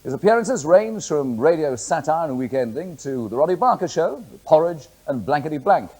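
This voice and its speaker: A man, a radio presenter, introducing a guest and asking questions in a brisk, lively manner. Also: on the high side, husky. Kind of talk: broadcast interview.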